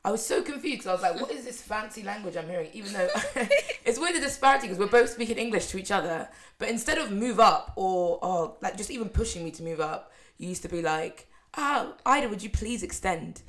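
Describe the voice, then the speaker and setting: A young woman from the UK co-hosting a casual podcast chat, animated and laughing often. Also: mid-range voice, brisk. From United Kingdom. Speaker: podcast co-host.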